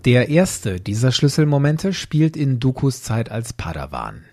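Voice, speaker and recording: Mellow, husky male podcast host. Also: mid-range voice, big pitch swings, a little slow, soft background music. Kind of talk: scripted explanatory narration.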